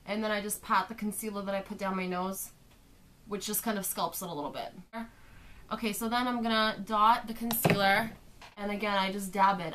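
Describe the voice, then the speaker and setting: A young woman, slightly hoarse from a sore throat, talks casually through a makeup tutorial. She explains each step as she applies it. The sound comes from a webcam in her bedroom. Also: mid-range voice, bright, forceful tone. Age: young adult. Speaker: beauty vlogger. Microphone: webcam at desk distance.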